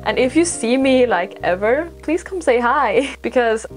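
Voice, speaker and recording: A woman's voice: a little high, expressive, unhurried, faint music behind. A young woman from Sweden, a native Swedish speaker, chatting casually and telling stories in an informal, self-deprecating way with rambling asides. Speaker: podcast host and vlogger. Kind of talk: casual chatty storytelling to camera.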